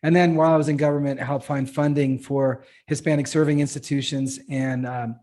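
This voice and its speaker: A man's voice: medium pitch, little pitch movement, fairly quick, dark tone. A middle-aged man earnestly telling his career story on a video panel, with frequent uhs. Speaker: panelist, nonprofit CEO. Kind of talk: panel self-introduction.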